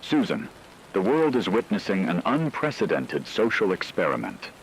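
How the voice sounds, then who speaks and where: Deep-voiced male news anchor, swinging intonation. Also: sing-song, moderate pace, a little hiss or hum, low-quality recording. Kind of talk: scripted dramatized news broadcast.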